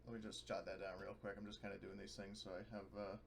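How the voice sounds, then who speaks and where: A man's voice: lowish voice, monotone, quick pace, gravelly. A man talking casually as a player in a tabletop game, hesitant and thinking aloud.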